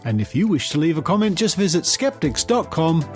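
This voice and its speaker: An Australian man hosting a podcast, reading news and commentary in measured, deliberate phrases. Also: a little high, sing-song, over music. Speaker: podcast host. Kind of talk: scripted news commentary, reading reports aloud.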